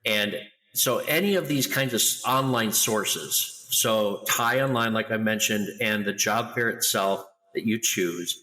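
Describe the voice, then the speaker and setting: A middle-aged man talking loudly and with lively energy as a guest in an interview. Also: fairly low pitch, unhurried, long reverb tail, rough recording. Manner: loud, animated storyteller. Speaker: guest, international school educator. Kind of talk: conversational interview.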